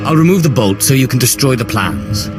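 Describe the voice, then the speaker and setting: A man's voice: medium pitch, sing-song, fairly quick, close to an everyday voice. A man playing a cool, unruffled Sith adventurer in a video game, speaking tersely. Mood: composed. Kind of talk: video game character acting. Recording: loud music underneath. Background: loud game music.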